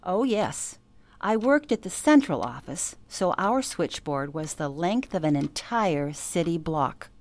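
A low-voiced elderly woman reminiscing. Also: very animated, unhurried, a little mellow. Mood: nostalgic. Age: older. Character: elderly former switchboard operator fondly recalling her first night. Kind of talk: radio play acting as an interview.

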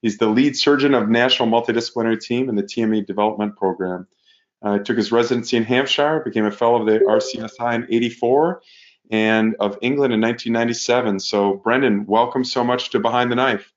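A man hosting a podcast, introducing his guest with a brisk, list-like delivery. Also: mid-range voice, fairly even intonation. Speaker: podcast host. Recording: dull lo-fi audio.

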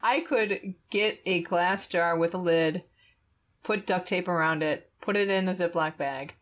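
A woman's voice: on the low side, measured pace. A woman hosting a podcast in a casual, conversational style, chuckling often as she talks. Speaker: podcast host.

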